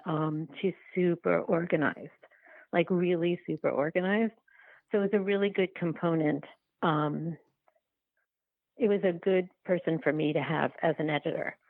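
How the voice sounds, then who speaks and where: A woman's voice: sits low, vocal fry, no room sound. A woman talking casually as an interview guest, often restarting and repeating her words as she explains her filmmaking. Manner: halting, repetitive, self-restarting storytelling. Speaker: podcast guest, documentary filmmaker. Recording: tinny, phone-like line.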